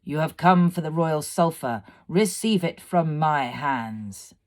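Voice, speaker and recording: Woman voicing a scornful, imperious fire fiend, slow and forceful. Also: low voice, animated. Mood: commanding, taunting. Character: booming, mocking male fire fiend, imperious and cruel. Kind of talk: dramatised storybook reading.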